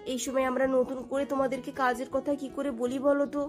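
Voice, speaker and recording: A woman plays a poor young mother working as a housemaid in a cartoon story. Her voice sits high, anxious and apologetic. She speaks deferentially, with soft music behind her. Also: little pitch movement, fairly quick, lightly acted. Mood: worried.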